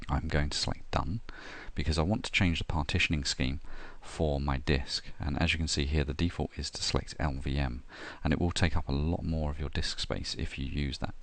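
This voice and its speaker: A middle-aged man talking through a software install, step by step, with frequent ums and uhs. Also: very deep voice. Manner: steady step-by-step explaining with frequent "uh" and "um". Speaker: software tutorial presenter. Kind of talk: screen-recorded tutorial narration.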